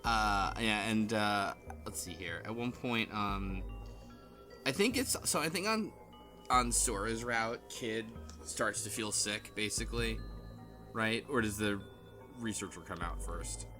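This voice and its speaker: A man's voice: on the low side, lively intonation, measured pace, sharp tone, soft background music. A man leading a casual podcast chat, rambling with lots of filler words. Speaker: podcast host. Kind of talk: casual chat recapping a visual novel.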